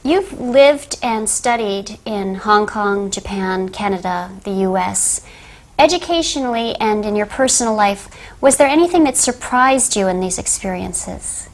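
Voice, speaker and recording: A woman interviewer asking calm, measured questions on a television program. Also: medium pitch. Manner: calm, measured questions with quiet listening murmurs. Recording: 1990s television broadcast.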